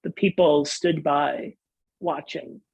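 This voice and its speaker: A man reading scripture as a pastor, in a solemn, measured and deliberate manner. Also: fairly high pitch, steady tone, gravelly, darkish tone, husky.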